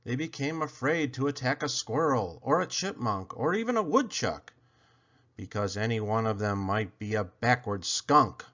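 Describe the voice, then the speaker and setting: Animated male storybook reader. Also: medium pitch, normal speed, highs cut off. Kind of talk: expressive children's read-aloud narration.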